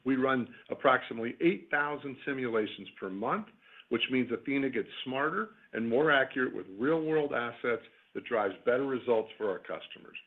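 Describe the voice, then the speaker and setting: A man, a company executive, reading a scripted earnings call presentation in a formal, measured corporate way. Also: medium pitch, lively intonation. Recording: tinny narrowband phone conference line.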